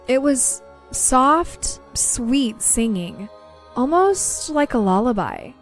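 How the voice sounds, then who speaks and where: Chatty woman podcast host, slightly high voice. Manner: chatty, laughs easily, reads stories aloud. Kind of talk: casual chat and reading listener stories.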